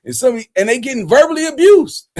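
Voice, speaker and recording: A man doing casual street-talk commentary as a streamer, rambling and slangy in an animated way. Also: very high voice, moderate pace.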